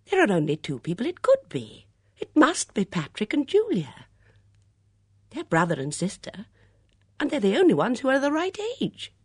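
A woman voicing a lively, blunt English vicar's wife in an audiobook, eager, affectionate and emphatic. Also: fairly low pitch, a little slow, husky, no echo, clean studio sound, voice pushed up, exaggerated voice. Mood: excited.

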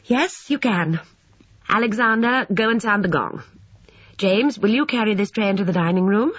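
A woman voicing a brisk, capable female cook who gives direct instructions in an audiobook. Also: medium pitch, animated, breathy edge, voice pushed up. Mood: brisk, practical. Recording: dull, roomy, echoing sound.

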